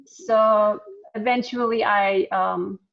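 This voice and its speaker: A middle-aged woman with an Armenian accent, speaking slowly and easily, with a gravelly edge and many pauses filled with ums. Her voice comes through a video call with slightly muffled, dull audio. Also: on the high side. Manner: chatty, frequent ums, laughs often. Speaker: alumna speaker. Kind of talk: casual talk on a video call.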